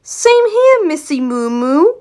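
Synthetic woman's voice as a high, bouncy cartoon girl. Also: sing-song, slow, warm tone, full character voice. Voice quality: synthetic text-to-speech voice.

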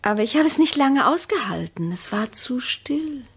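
Needling suspicious wife, radio drama, husky breathy voice, animated melody, pointed phrasing. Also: female voice, high voice, moderate pace, full character voice. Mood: hushed wonder. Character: distrustful, needling wife in a soured marriage. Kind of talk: German radio play acting.

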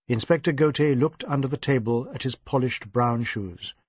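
A man narrating a novel as an audiobook, measured and expressive in his storytelling. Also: mid-range voice, husky, no echo. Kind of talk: scripted literary narration.

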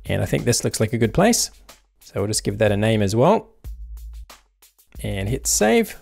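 A man with a gravelly, fry-edged voice talks through a software workflow one step at a time. His delivery is calm and unhurried, a tutorial voice-over with faint music underneath. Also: on the low side. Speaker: tutorial host. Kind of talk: screen-recorded software tutorial.

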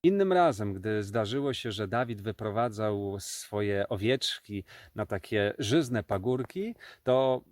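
A man's voice: lowish voice, lively intonation, slow pace, few pauses, no room sound, clean studio sound. A man telling Bible stories to children as their storyteller.

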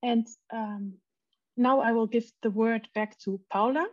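A woman programme director giving a measured presentation over a video call. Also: on the high side, fairly even intonation, highs cut off.

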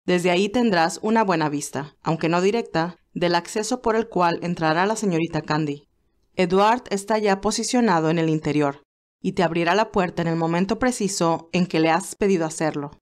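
A woman voicing a loyal, formal male aide to a gentleman in a romance story. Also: on the low side, normal speed, natural voice. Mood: calm, reassuring.

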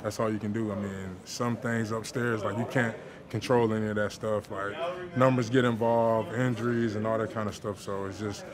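A young football player speaks into reporters' handheld mics in a locker room. His tone is relaxed and easygoing, and the talk is filled with casual fillers. A crowd chatters clearly behind him. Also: fairly low pitch, normal speed. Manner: relaxed, laid-back, with filler words and light laughs. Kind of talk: post-game locker room interview. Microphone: handheld reporter microphones.

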